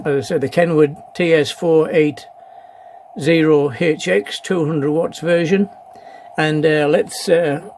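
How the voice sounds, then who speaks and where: Halting middle-aged man, noisy recording. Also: fairly high pitch, a little mellow. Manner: halting, hesitant, repeats phrases. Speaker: amateur radio hobbyist presenting a video. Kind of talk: casual unscripted vlog talk. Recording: clearly noisy background.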